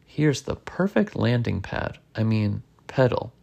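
A storybook reader as a cheerful, unflappable schoolteacher woman, creaky. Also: medium pitch, unhurried, full character voice. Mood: upbeat. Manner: calm, upbeat, announcing. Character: cheerful, unflappable adult woman schoolteacher leading a class trip.

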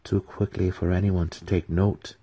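A man voicing a treacherous male dark elf warrior with a scheming, theatrical delivery in a fantasy audiobook. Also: sits low, level tone, normal speed, husky. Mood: gloating. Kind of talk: dramatic fantasy audiobook reading.

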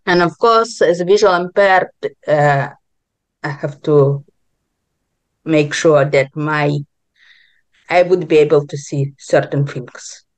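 Woman, podcast guest, from Israel, slightly low pitch, slow halting delivery, non-native phrasing. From born in Ukraine, grew up in Israel. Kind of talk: personal interview answers.

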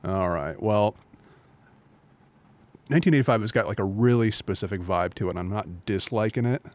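A man's voice: lowish voice, moderate pace, darkish tone. A man co-hosting a podcast, talking in a laid-back, wry casual chat. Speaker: podcast co-host.

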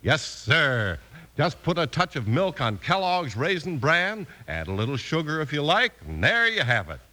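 A man's voice: fairly high pitch, big pitch swings, normal speed, sharp tone. A man with an American accent announcing in emphatic, polished 1950s radio style. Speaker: announcer and narrator. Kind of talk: 1950s radio announcing, scripted narration and sponsor pitch.

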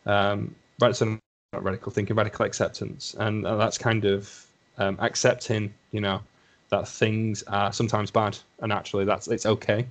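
Lancashire man, low voice, rambling chat. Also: flat delivery, normal speed. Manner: rambling, self-reflective, hedges with "you know". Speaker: podcast guest, assistant psychologist. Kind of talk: casual conversation.

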